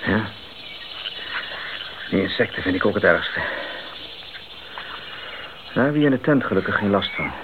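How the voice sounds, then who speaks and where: Radio drama part: young male missionary, acted, sober, earnest, inquiring, lowish pitch, dull noisy recording. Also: lively intonation, moderate pace, long pauses, natural voice. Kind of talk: Dutch radio play acting.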